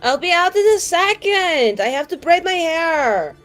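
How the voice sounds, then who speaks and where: A woman's voice: very high-pitched, sing-song, drawn-out words, soft background music, pitched above the performer's own voice, full character voice. A woman voicing a flippant, easily exasperated elf woman in a fantasy tabletop game. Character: sassy, unhurried female elf, easily exasperated.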